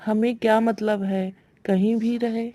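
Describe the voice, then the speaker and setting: Mellow-voiced scheming village wife, acted by a woman. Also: on the high side, little pitch movement, a little slow, lightly acted. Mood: cold, indifferent. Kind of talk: Hindi moral-story cartoon dialogue.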